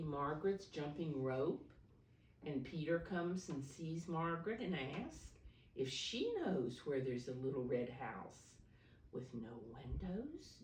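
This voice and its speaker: A woman speaking as a preschool teacher at storytime, explaining things simply and deliberately to young children. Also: deep voice, a little hiss or hum. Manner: simple, deliberate explaining, refers to herself in third person. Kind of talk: read-aloud storytime for preschoolers. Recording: roomy echo, distant microphone.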